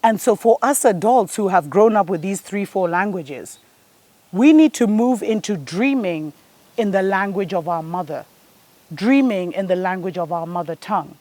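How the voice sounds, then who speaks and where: A woman's voice: mid-range voice, expressive, normal speed, darkish tone, no echo. A woman, hosting a spiritual show and giving an earnest, preachy monologue that speaks to her listeners as family. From Kenya.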